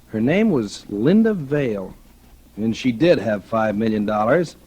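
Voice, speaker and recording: A man's voice: on the low side, darkish tone, breathy edge. An actor playing a cynical, scheming male writer in a 1940s radio drama, talking in a colloquial, calculating way. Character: smooth scheming male writer, cynical opportunist courting a dying heiress. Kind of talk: 1940s radio drama acting, first-person noir narration. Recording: noisy vintage radio recording.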